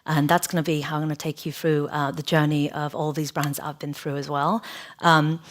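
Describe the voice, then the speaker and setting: A woman's voice: deep voice, little pitch movement, fairly quick. A middle-aged woman with an English accent, born in Hong Kong, giving a conversational lecture from a podium in a large hall, with frequent fillers and restarts. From Hong Kong, raised in England. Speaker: guest speaker, chief creative officer. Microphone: podium microphone.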